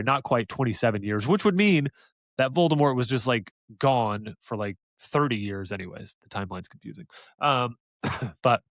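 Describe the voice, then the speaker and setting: A man's voice: lowish voice, dry recording, clean studio sound. A man co-hosting a podcast in casual chat, rambling and hesitant, laughing often. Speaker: podcast co-host.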